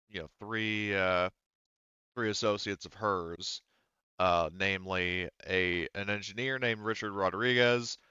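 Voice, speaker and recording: A man with a deep, bright, smooth voice runs a tabletop game as game master. He talks casually and haltingly, feeling his way through the details. The sound is close and dry, if slightly muffled. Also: no echo. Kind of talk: narrating an online tabletop game.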